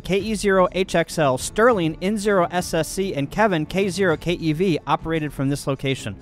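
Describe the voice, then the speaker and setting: A man narrating and giving a tour as a radio contest operator in his own video. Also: sits high. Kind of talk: scripted narration and casual on-site tour.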